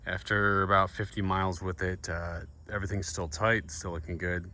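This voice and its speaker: A man's voice: low voice, level tone, normal speed, pushed and bright. An American man giving a casual, laid-back DIY walkthrough to camera in plain-spoken words. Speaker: vlogger showing DIY van upgrades. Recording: noisy handheld recording with vehicle ambience.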